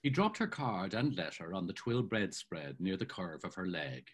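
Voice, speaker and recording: An older man narrating a novel in a savoring, expressive reading style over a video call. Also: deep voice, moderate pace, husky. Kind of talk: dramatic literary reading. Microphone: video call webcam.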